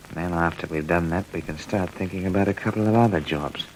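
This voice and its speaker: An actor playing a truculent Australian housebreaker and ringleader in an old radio crime drama, curt, slangy and bullying. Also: male voice, deep voice, fast, slight background noise, close to an everyday voice, poor audio. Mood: wary, brazen. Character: cocky, truculent male housebreaker, ringleader with a gun.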